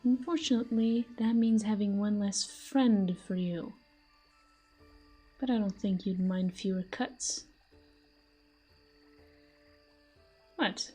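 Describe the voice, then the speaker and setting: Woman acting a breathy, mellow, possessive villainess. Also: mid-range voice, a little slow, soft background music. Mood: possessive, coolly menacing. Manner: smug, taunting, breathy murmurs. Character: possessive, flirtatious, teasing female fantasy villain with magic. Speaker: voice actor in an audio roleplay. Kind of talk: intimate scripted audio roleplay addressed to the listener.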